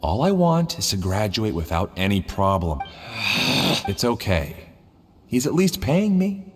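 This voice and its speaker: A man playing a weary, resigned young graduate student in a video game, husky and placating. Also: fairly low pitch, big pitch swings, slow, faint music behind. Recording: long reverb.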